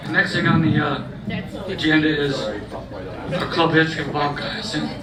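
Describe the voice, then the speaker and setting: A man's voice: on the high side, expressive, normal speed. A man acting as master of ceremonies at a club gala, running through the agenda in a folksy, joking way while losing his voice. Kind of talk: emceeing a gala program. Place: large banquet hall. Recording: echoey hall, far-off mic, crowd noise.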